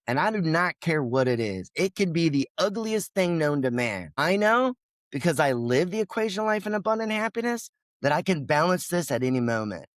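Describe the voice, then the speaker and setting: A man's voice: a little high, no room sound, clean studio sound. A man who is a podcast guest, speaking in an emphatic, passionate way and now and then acting out his own inner voice. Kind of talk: energetic interview talk.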